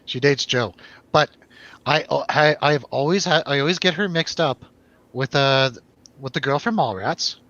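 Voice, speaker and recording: A man's voice: on the high side, unhurried, bright, forceful tone, dry recording, dull audio. A man in casual, rambling conversation about films, telling stories animatedly and swearing freely. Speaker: film review show host. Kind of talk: casual chat, film review over drinks.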